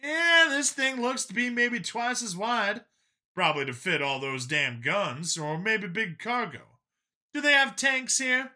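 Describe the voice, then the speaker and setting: A man voicing an eager, defensive male soldier type in an audiobook, talking in an excitable, emphatic way. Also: high voice, a little slow, voice pushed up, full character voice. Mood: curious, amused. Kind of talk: audiobook character dialogue.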